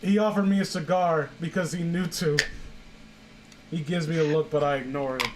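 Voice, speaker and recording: A man's voice: on the high side, steady tone, moderate pace, background noise. A young man casually reading fan fiction aloud as a host, breaking off for sarcastic, sweary asides.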